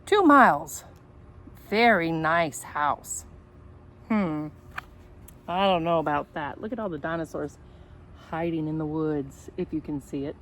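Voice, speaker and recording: A woman reading a children's story aloud in a playful, expressive way, with asides addressed to the young listeners. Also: deep voice, measured pace, long pauses, slight background noise. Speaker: storybook reader.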